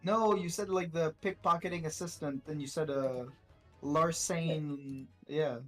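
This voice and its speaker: A man's voice: a little high, steady tone, a little slow, a bit bright, faint music behind. A man in casual banter as a game stream player, blunt, teasing and sweary.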